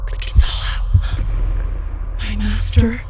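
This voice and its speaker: A woman playing an airy, dutiful magical spirit servant in a Shakespearean radio play, measured and urgent. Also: mid-range voice, level tone, close to an everyday voice. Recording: distant voice under loud music.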